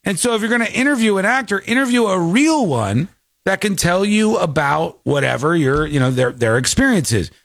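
Male host, lively sarcastic delivery, fairly high voice, dry close mic. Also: brisk, vocal fry, no echo. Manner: sarcastic, mocking, animated. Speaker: streamer host reacting to clips. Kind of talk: casual live-stream commentary.

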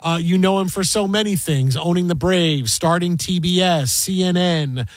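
A man hosting a sports talk radio show and running a quiz game, brisk and joking. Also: sits high, no echo.

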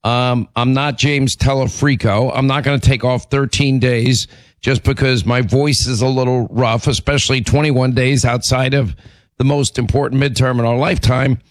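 Hoarse, raspy radio host, dry studio sound. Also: male voice, medium pitch, fairly even intonation, normal speed, no room sound. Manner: emphatic, repetitive, combative. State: hoarse with laryngitis, clearing throat. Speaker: radio talk show host. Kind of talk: talk radio chat. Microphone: studio microphone.

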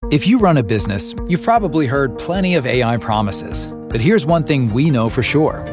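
A man reading a scripted commercial in a brisk, direct way. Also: medium pitch, big pitch swings, music in the background. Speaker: ad announcer.

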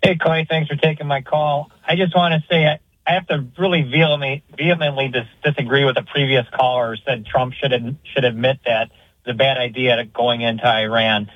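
A man's voice: medium pitch, fairly even intonation, a little fast, no echo. A man of fifty-five calling in to a radio show, making his point earnestly and emphatically. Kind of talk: opinionated phone-in comment. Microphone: phone. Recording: dull, narrow phone line on radio.

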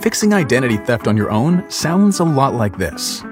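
A man reads a scripted radio ad with an urgent, persuasive push. His voice is mid-pitched for a man and varies its pitch a lot. Music plays clearly under him. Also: very animated, normal speed. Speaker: ad announcer.

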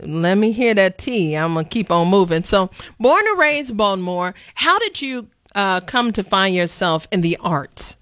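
A woman's voice: mid-range voice, expressive, measured pace, few pauses, fairly bright tone, dry recording, studio quality. A woman in her late thirties hosting a talk show, chatting playfully in colloquial speech. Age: 30s. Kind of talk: casual interview chat. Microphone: video call.

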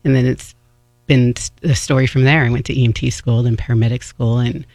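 A man's voice: medium pitch, steady tone, fairly quick, darkish tone, no room sound. A man who is a paramedic, telling a casual story as a radio guest, with many fillers. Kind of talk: casual interview in studio.

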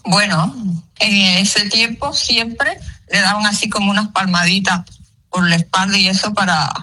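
A woman's voice: mid-range voice, steady tone, normal speed, pushed and bright, poor audio. An older woman, the host's mother on the phone, answering in a giggly and affectionate way.

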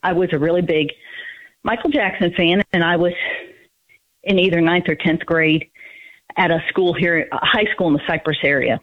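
A woman's voice: fairly low pitch, steady tone, moderate pace, long pauses. Nervous caller in her fifties over the phone. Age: 50s. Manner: hesitant, nervous, many ums. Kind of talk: telling a personal story on a phone-in. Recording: tinny phone line.

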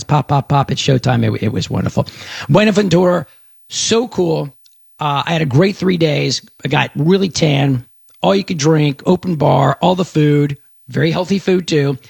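A middle-aged man from Texas hosting a radio show, talking fast and animated in a rambling, self-promoting monologue. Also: a little high, gravelly, breathy edge, dry recording, clean studio sound.

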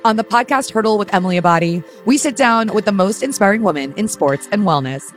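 A woman's voice: mid-range voice, animated, fast talker, faint music behind. A woman narrating a scripted promo for a sports podcast.

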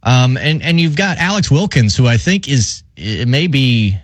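A man's voice: medium pitch, lively intonation, unhurried, dry recording. A man co-hosting a sports radio show, breaking down a basketball team in casual talk. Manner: conversational, analytical, hedging with fillers.

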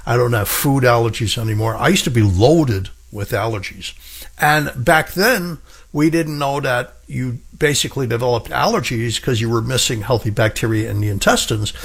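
Husky middle-aged male radio host. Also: medium pitch, normal speed, fairly bright tone. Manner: enthusiastic, emphatic, repeats for stress. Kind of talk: radio health talk monologue.

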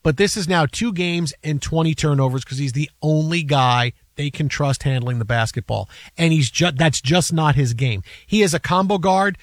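Male sports host, raised pitch, dry studio sound, emphatic rapid-fire analysis. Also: no room sound. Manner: emphatic, rapid run-on argument with repeated "right?". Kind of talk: basketball analysis chat.

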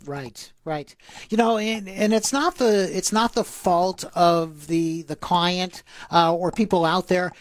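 A man's voice: high voice, slow pace, dry recording. A man co-hosting a financial radio show, explaining tax planning in a chatty way with fillers and asides. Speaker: radio co-host, financial advisor. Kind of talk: casual radio finance talk.

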